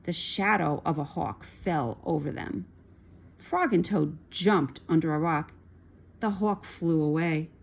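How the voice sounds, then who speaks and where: A woman reading a storybook aloud to children in an expressive style. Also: low voice, slow, gravelly.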